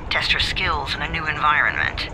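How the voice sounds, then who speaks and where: An actor playing a cold, evasive official in a video game, formal and dismissive in manner. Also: male voice, on the high side, sing-song, moderate pace. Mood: coolly dismissive. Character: cold, evasive bureaucratic male handler. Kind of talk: video game cutscene acting. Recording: clearly noisy background.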